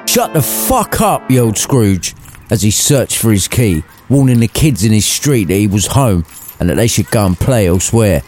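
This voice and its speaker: A man's voice: lowish voice, expressive, normal speed, darkish tone, faint music behind. A man narrating a scripted comic story in a wry, irreverent manner.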